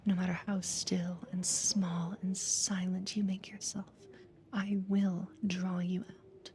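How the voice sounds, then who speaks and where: Actress for creepy female therapist, ASMR hypnosis roleplay: mellow, breathy whisper, unhurried, calm and ominous control. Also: lowish voice, slight background noise. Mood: quietly menacing. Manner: slow, deliberate, soothing yet ominous.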